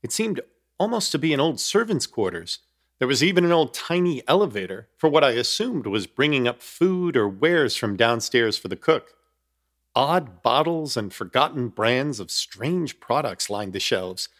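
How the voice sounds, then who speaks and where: A man's voice: medium pitch, big pitch swings, normal speed, fairly bright tone. An actor playing an uneasy apartment hunter who narrates his ordeal in florid, wry scripted storytelling for a horror comedy radio play. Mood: wry, puzzled.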